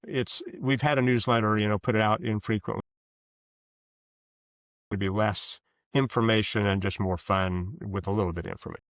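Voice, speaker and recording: Older man, lowish pitch, dull lo-fi sound, casual guest chat, rambling, good-humoured. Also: steady tone, moderate pace, pauses often, no room sound. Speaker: fiction author, podcast guest.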